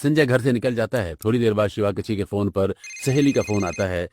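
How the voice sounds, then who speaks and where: A man's voice: lowish voice, brisk, darkish tone, a little hiss or hum. A man reading scripted narration for a cartoon story as its narrator.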